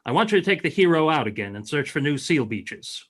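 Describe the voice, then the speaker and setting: A man acting in a radio play as a fatherly older sea captain who speaks with measured authority. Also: on the high side, very animated, a bit bright, slightly rough, full character voice. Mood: grave, commanding.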